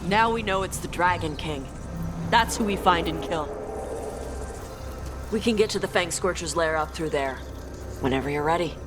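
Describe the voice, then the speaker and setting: Woman acting a blunt, low-voiced female dragon hunter. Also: moderate pace, long pauses, lightly acted. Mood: resolute. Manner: clipped, blunt, wry. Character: tough young female dragon hunter, wry and commanding. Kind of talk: video game character acting. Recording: background music under the voice.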